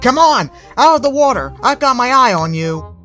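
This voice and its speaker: A woman's voice: fairly high pitch, big pitch swings, moderate pace, fairly bright tone, faint music behind, voice pushed up. A woman voicing a bossy, bitter teenage male griffin.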